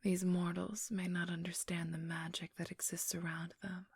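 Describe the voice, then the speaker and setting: A woman's voice: fairly low pitch, flat delivery, breathy edge, no room sound. A woman playing a haughty, teasing, ageless vampire thief in an ASMR role-play, whispering breathily and condescendingly close to the microphone. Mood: sly, boastful. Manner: condescending, teasing, drawn-out delivery. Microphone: close, dry microphone.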